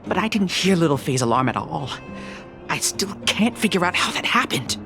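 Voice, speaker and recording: Hesitant, worried townsman with lively intonation. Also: on the high side, moderate pace, creaky, music in the background. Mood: troubled, puzzled. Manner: hesitant, earnest, thinking aloud. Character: worried, hesitant townsperson who lost robots. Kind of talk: scripted game voice acting.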